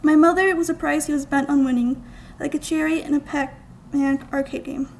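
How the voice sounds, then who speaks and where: A young American woman gives a scripted reading of her own creative writing. Her voice is high with a noticeable creak, and she phrases lines deliberately and expressively. It is a live gallery recording with faint background noise. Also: fairly even intonation. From United States, small-town Minnesota. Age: young adult. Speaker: student writer reading own work. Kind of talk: scripted literary reading aloud. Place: college art gallery, indoors.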